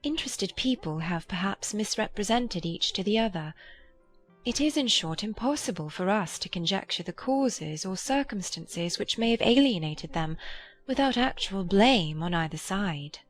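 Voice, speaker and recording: A woman playing a gentle, earnest, kind-hearted young Regency gentlewoman in a dramatized audiobook. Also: medium pitch, expressive, moderate pace, soft background music, close to an everyday voice.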